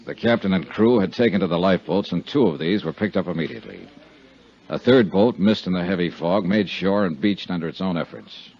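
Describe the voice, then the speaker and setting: A man's voice: sits low, animated, brisk. An American man playing a wry, wisecracking insurance investigator who narrates his own case in 1950s radio drama style. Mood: crisp narrating. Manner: wisecracking, colorful storytelling. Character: wry, breezy freelance male insurance investigator. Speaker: lead actor and first-person narrator. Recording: dull vintage 1950s radio recording.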